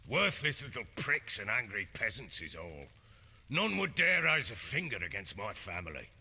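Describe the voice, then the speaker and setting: An actor playing a blustering middle-aged warlord baron in a video game, with a gruff, husky voice and a Northern English accent. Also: male voice, mid-range voice, sing-song, normal speed, bright, forceful tone, heavily acted. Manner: blustering, emphatic. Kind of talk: video game character acting. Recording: hall reverb, music underneath.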